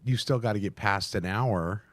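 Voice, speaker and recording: A man hosting a reaction video, reading text aloud somewhat haltingly and adding casual commentary. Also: lowish voice, no echo. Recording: music playing behind the voice. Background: music.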